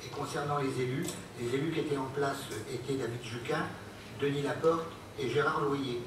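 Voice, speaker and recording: A man, an elected local official, formally presenting a council report in a halting, word-searching way. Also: medium pitch, fairly even intonation. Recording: very echoey hall, distant mic, noisy.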